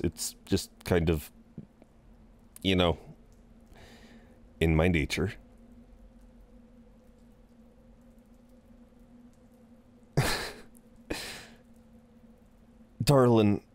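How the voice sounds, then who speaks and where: A man playing an amused, seductive incubus in an intimate roleplay audio drama, teasing and soothing in an unhurried, halting way. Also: lowish voice, darkish tone, lightly acted. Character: amused, reassuring, seductive male incubus innkeeper.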